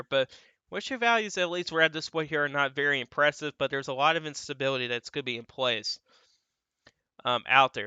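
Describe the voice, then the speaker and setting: A man's voice: on the high side, pushed and bright, clean tone, dry recording, muffled recording. A young man who sounds a little stuffy and dry in the throat, talking casually through severe weather forecast models as the host of his own weather channel. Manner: loose and conversational, repeats filler phrases.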